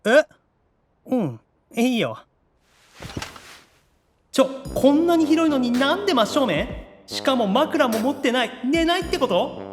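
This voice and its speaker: A man playing an anxious, excitable young otaku demon brother in an anime-style audio drama, theatrical, moving between awkward muttering and gushing squeals. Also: very high voice, a little slow, long pauses, heavily acted. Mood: nervous muttering.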